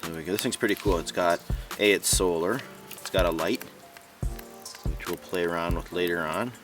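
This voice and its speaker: Deep-voiced man chatting from off-mic. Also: normal speed. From Niagara region, Ontario, Canada. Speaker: outdoor vlogger reviewing gear. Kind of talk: casual, unscripted chat. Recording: distant mic, music underneath.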